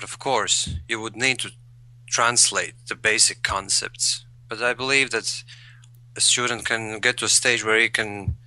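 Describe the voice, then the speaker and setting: Guy, low and raspy-creaky, sharp bright tone, talks slow and thinks out loud. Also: no room sound, low-quality recording. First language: Latvian. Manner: thoughtful, repeats words while searching for phrasing. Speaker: guest, English learner. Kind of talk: conversational interview.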